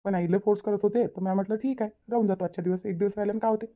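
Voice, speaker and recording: A woman's voice: medium pitch, fairly even intonation, racing, warm tone, natural voice. A woman voicing an apologetic young newlywed son in a cartoon family drama, pleading and explaining himself in a Vidarbha Marathi accent. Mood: defensive, apologetic. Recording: tinny phone-like narrow audio.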